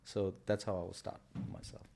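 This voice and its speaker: A man's voice: low-pitched, little pitch movement, gravelly, dark tone, a little hiss or hum. Hesitant man in his thirties. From Nepal. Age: 30s. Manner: hesitant, halting, many pauses and fillers. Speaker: guest, artist and kids entertainer. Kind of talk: casual interview chat.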